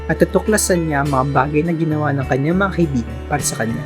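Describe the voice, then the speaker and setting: A man's voice: fairly high pitch, fast. A man narrating a radio drama in a formal, earnest storytelling tone. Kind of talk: scripted narration. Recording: music playing behind.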